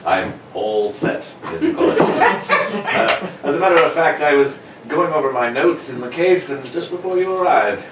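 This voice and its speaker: A man playing a genial, slightly flustered older gentleman doctor telling stories in a radio drama read-through. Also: on the high side, big pitch swings, normal speed. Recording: roomy, distant mic, noisy home recording.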